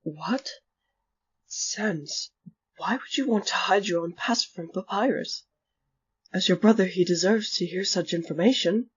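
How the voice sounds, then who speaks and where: A woman voicing an earnest, polite male bartender with formal, measured speech in a fan comic dub. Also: fairly low pitch. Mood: concerned. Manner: formal, measured, careful diction. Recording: muffled husky recording with faint music.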